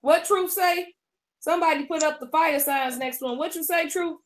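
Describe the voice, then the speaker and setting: A woman in her thirties co-hosting a live stream, bantering and teasing quickly. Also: sits high. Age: 30s. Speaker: co-host. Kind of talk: casual live-stream chat.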